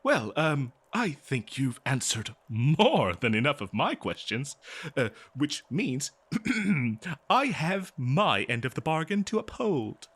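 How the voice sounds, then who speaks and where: A man's voice: medium pitch, big pitch swings, slow, fairly bright tone, breathy edge, exaggerated voice. A man playing an eager, kindly archaeologist in a fantasy audio roleplay, speaking in a hesitant, stammering, scholarly way straight to the listener. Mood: gentle, reassuring. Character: eager, kindly male archaeologist befriending a lonely monster. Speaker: audio drama performer. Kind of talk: scripted fantasy audio roleplay, one-sided dialogue to listener.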